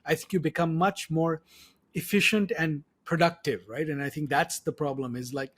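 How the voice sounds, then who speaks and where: A man hosts a conversational interview podcast. His voice is on the higher side for a man, with a husky, unpolished grain. He explains and sums up points, checking in with short tags as he goes. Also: steady tone, normal speed, fairly bright tone. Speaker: podcast host. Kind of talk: conversational interview, reflecting and summarizing.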